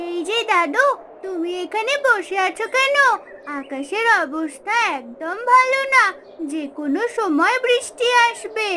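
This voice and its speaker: Very high-pitched sweet little girl. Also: expressive, a little slow, background noise. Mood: curious. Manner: earnest, coaxing, affectionate. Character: kind, caring little village girl, cheerful and trusting. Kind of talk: animated fairy-tale dialogue. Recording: music behind the voice.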